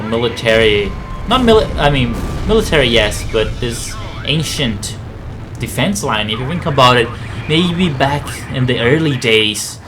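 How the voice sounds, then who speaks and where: A man who speaks as a commentates on a video game playthrough. He talks casually, rambling into explanations and asides at a medium pitch. Music plays behind his voice. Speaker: gameplay commentator, channel host.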